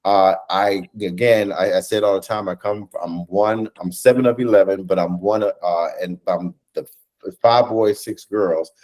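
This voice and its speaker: An American man in his thirties or forties talking conversationally as an interview guest, animated and often rephrasing as he goes. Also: low-pitched, moderate pace. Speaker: theatre director and writer, interview guest. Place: indoors, on a video call.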